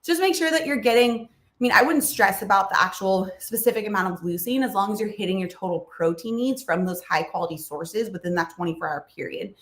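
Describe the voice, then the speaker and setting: A woman's voice: mid-range voice, brisk, a bit bright, reverberant. A woman hosting a livestream Q&A about nutrition, explaining casually with fillers and small laughs while her voice is a bit froggy. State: froggy voice, clearing throat and coughing. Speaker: nutrition channel host answering livestream questions.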